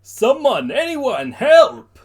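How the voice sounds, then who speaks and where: Man as high-pitched, desperate teenage boy. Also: wildly swooping pitch, slow pace, a little mellow, slightly rough, exaggerated voice. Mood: screaming for help. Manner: stammering, desperate. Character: frightened, pleading teenage boy hero.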